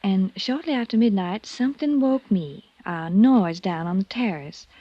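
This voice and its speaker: A woman's voice: mid-range voice, expressive, moderate pace, mellow, no echo, close to an everyday voice. Actress doing shaken young woman, earnest n guarded, old time radio drama, dull dry sound. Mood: tense, recounting. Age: young adult. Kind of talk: 1950s radio drama acting. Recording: muffled vintage radio recording.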